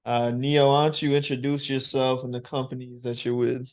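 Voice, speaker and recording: A young man hosting a student interview show, asking encouraging questions. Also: medium pitch. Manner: slow, even, encouraging questions.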